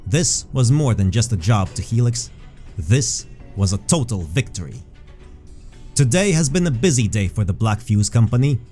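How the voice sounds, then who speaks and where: A man narrating a scripted fantasy story as a measured storyteller. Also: mid-range voice, big pitch swings, dark tone. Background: background music.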